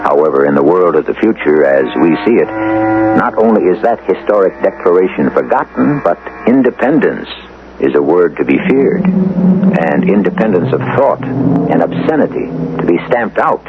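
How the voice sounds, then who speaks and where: A man hosting a radio drama, narrating from a script in a measured, portentous and theatrical way. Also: low voice, big pitch swings, background noise. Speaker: host and narrator. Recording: narrow, tinny old radio recording. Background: music.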